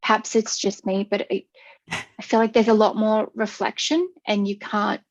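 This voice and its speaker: A woman's voice: mid-range voice, level tone, moderate pace, vocal fry. A woman student sharing a candid, reflective view during an online class video call. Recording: dull lo-fi video call audio.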